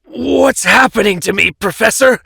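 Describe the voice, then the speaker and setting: Monstrous young male werehog, heavy gravel, brash and restless, forceful edge, music behind. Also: mid-range voice, moderate pace, no echo, full character voice. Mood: alarmed. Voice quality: gravelly, growly. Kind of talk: fan audio drama acting.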